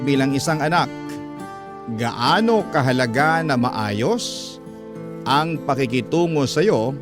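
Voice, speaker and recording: A male host reading a listener's letter aloud in a slow, emotive, classic radio storytelling manner. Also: a little high. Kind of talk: dramatic storytelling narration. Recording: guitar music under the voice. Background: guitar music.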